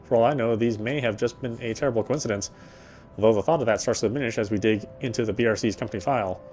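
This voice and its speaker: A man's voice: medium pitch, fast, a little mellow, slight background noise, close to an everyday voice. An actor playing a weary male city detective, narrating in the first person with a wry inner voice.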